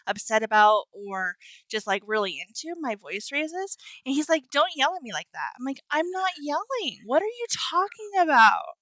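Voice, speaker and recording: A soft-spoken young woman co-hosting a casual podcast, with a bright, crisp tone. Her voice sits close and dry, with no room sound around it. She is easygoing and agreeable, often chiming in to back up her partner. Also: high voice, big pitch swings, normal speed, no room sound. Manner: easygoing, agreeable, lots of backchannel. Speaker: podcast co-host. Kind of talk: casual chat.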